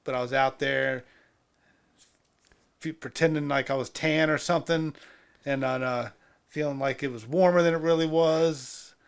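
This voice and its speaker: A man's voice: a little high, fairly even intonation, normal speed, vocal fry, pauses often, clear, resonant tone. A middle-aged man co-hosting a podcast, reminiscing in casual, emphatic talk and repeating his phrases for effect. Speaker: podcast co-host.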